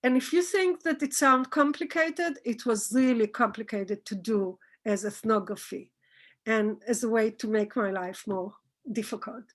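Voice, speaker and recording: High-voiced woman scholar searching for words. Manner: halting, searching for words, rephrasing often. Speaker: scholar and author answering questions. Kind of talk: academic talk answering audience questions.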